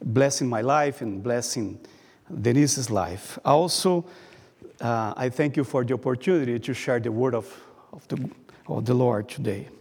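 A man preaching a sermon in English with a Brazilian Portuguese accent, speaking carefully and pausing often as he reads from his notes. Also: mid-range voice, darkish tone, slightly rough. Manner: careful, halting delivery, reading from notes. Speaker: guest preacher. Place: church sanctuary. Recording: long reverb of a church hall.

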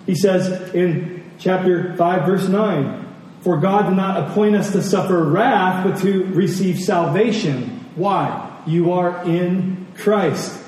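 A man delivers a sermon in a high-pitched, mellow voice. He repeats key phrases deliberately and punctuates his points with questions. The sound is very echoey, as if heard across a big hall. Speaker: pastor preaching. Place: large reverberant room, church service. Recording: very echoey hall, distant mic, faint noise.

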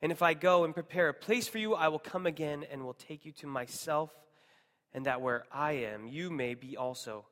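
A man's voice: fairly high pitch, normal speed, fairly bright tone. A man with an American accent preaching a sermon to a congregation in an emphatic way, leaning on repetition. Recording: long hall reverb.

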